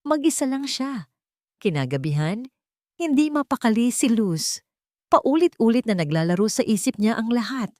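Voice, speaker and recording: A woman's voice: on the high side, very animated, normal speed, mellow, dry recording, studio quality. A woman narrating a scripted dramatic story as a storyteller.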